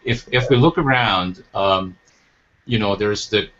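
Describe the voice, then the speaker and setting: A man's voice: fairly low pitch, takes it slowly, a bit bright, slight background noise. A man hosting a talk show as a coach, speaking reflectively, asking rhetorical questions, and restarting his sentences. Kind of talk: interview conversation. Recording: dull lo-fi recording.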